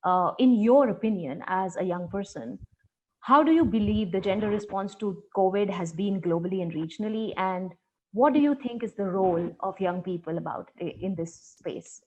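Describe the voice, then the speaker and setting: A woman's voice: medium pitch, a little mellow, dull audio. Polite woman moderator introducing panelists. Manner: polite, formal, slightly halting. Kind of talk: introducing panelists on a video call.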